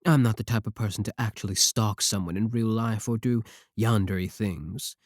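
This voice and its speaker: A man's voice: lowish voice, normal speed, dry recording, studio quality, natural voice. A man playing a falsely innocent, self-justifying VTuber streamer in an ASMR roleplay, explaining himself hesitantly and defensively to his chat. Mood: feigned innocence, defensive. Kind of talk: ASMR roleplay monologue as livestream talk to chat.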